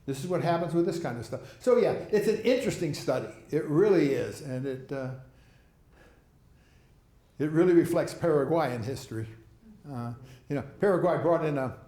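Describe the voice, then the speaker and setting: A man's voice: fairly high pitch, lively intonation, normal speed, mellow, reverberant. A man giving a rambling talk on foreign policy, with chuckling asides, answering audience questions. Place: lecture hall.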